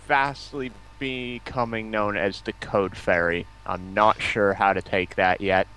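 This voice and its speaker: A man with a fairly low voice talks casually as a guest on a gaming livestream. He bandies remarks in a halting way, often repeating the first words of a sentence. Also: fairly even intonation, bright, forceful tone, a little hiss or hum, low-quality recording. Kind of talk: casual banter and chat.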